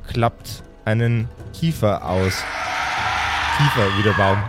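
A man's voice: lowish voice, slow pace. Dramatic male game master over prominent music. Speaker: game master and podcast host. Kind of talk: improvised dramatic storytelling with casual asides.

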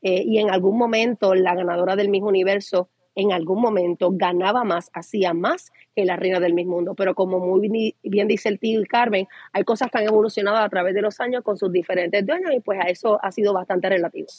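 A husky Puerto Rican woman who explains in a measured way, pausing on "eh" fillers. The audio is dry and slightly dull, lo-fi. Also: mid-range voice, steady tone, no echo. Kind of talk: casual talk-show chat.

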